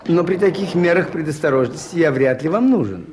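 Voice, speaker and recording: An actor playing a mild, inquisitive priest detective in a Soviet radio play, with a hesitant, gently questioning manner. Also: male voice, a little high, animated, brisk, natural voice. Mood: curious, mild. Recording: noisy 1980s radio play recording.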